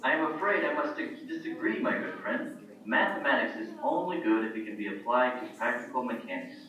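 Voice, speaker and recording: Distant echoey sound, man acting skeptical ancient king puppet, formal, challenging, middle-pitched, children's show. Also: fairly quick, bright, forceful tone, natural voice. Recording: muffled, echoey room, far from mic.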